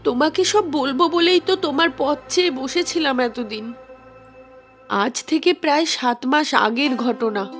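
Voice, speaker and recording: A woman's voice: high-pitched, unhurried, faint music behind. A woman playing a wronged young woman's ghost, plaintively telling of her suffering in a horror cartoon. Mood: sad, confiding. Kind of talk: dramatic storytelling in a horror cartoon.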